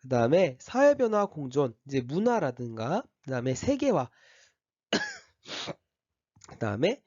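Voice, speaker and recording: A man who teaches high school history and has a slight cold gives a step-by-step orientation lecture to his class, with frequent fillers and restarts. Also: a little mellow, no echo, muffled recording.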